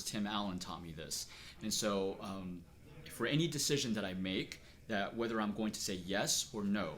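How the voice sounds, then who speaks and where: A middle-aged man from Texas, speaking earnestly and at a measured pace as he is interviewed about his life and work. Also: fairly low pitch, fairly bright tone. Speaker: interviewee, acupuncturist. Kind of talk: reflective personal interview.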